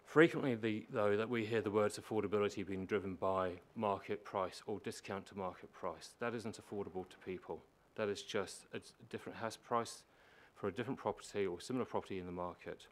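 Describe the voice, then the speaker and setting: A man with a low voice presenting a prepared submission at a public meeting, explaining and repeating points for emphasis. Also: fairly quick, hardly any pauses. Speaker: housing organisation manager presenting to a council. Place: council meeting chamber. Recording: meeting room with faint background noise.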